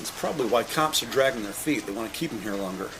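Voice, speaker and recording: A man reading scripted, deliberate and detailed narration for an audio-described police drama. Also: mid-range voice, expressive, noisy background.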